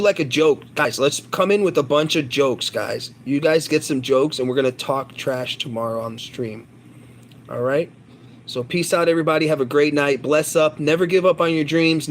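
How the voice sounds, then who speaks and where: A man with a fairly high voice talks to his stream viewers in a loose, rambling way. He swears, boasts and jumps from one point to the next. There is a faint hiss of background noise under his voice. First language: English. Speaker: livestreamer. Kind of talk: casual livestream chat.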